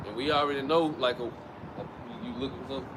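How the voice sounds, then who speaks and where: A man's voice: medium pitch, steady tone, fairly quick. A man teaching outdoors by a street in a loose, conversational way, often restarting his phrases. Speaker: street preacher. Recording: outdoor traffic noise. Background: passing vehicles.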